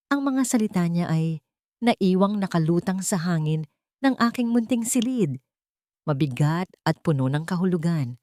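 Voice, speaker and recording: Woman, lowish voice, dry studio sound, scripted dramatic storytelling, solemn and measured. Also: mellow, no echo. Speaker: narrator of an audio story. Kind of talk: scripted first-person dramatic narration.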